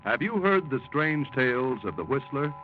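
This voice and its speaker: A man's voice: medium pitch, sing-song, normal speed. A man introducing a 1940s radio show in a dramatic, declamatory way. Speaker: radio announcer. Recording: muffled old radio broadcast with music.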